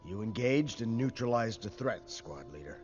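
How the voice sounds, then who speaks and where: An actor playing a hard, commanding military instructor in an animated sci-fi drama, speaking in a stern, clipped, authoritative way. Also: male voice, on the low side, normal speed. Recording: dull film audio under loud music.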